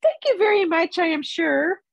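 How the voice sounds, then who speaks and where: A woman reading a storybook, playing a small, fussy, polite male hobbit, nervous but plucky, with a squeaky voice and chatty, hedging manner. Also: animated, moderate pace, gravelly, dry recording, highs cut off, heavily acted. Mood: nervous, sincere.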